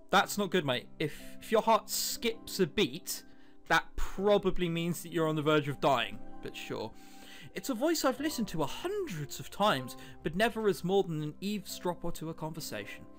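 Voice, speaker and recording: A gamer hosts a let's-play, reading story text aloud and breaking off for wry comments. The delivery is animated, with pitch moving around a lot. Game music plays behind the voice. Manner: self-interrupting, wry joking asides. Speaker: host, let's-player. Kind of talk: casual gameplay commentary mixed with reading visual novel text aloud.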